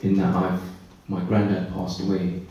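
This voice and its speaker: A man on a conference panel telling his own story, reflective and pausing mid-thought. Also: low voice, little pitch movement, mellow, muffled recording. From Britain. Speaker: panelist, care leaver. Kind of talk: personal testimony on a panel. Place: conference hall. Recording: echoey hall, voice far from mic.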